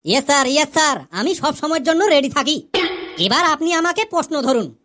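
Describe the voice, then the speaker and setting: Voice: sits high, animated, fairly quick, sharp tone. A woman voicing a cheeky, argumentative schoolboy pupil in a comedy cartoon, long-winded with smart-aleck answers.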